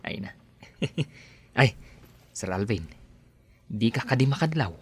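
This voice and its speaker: A man's voice: mid-range voice, animated, takes it slowly, a bit bright, husky, full character voice. A man with a Filipino accent playing a chatty, teasing, obliging office underling in a radio comedy-drama.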